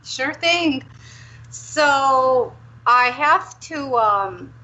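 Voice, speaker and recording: A woman from Romania, a career transition coach, telling her career story as a guest in a candid, animated way. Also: sits high, drawn-out words. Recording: dull lo-fi line with faint noise.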